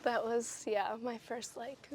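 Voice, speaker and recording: Modest woman songwriter, high voice. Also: level tone, slow pace, over a noisy crowd. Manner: modest, downplaying. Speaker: songwriter guest. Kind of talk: casual chat.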